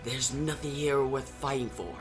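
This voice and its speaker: Guy doing smug doom villainess from a comic, cold ominous and deliberate, music behind. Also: mid-range voice, fairly even intonation, lightly acted. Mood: cold menace. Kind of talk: comic book story reenactment.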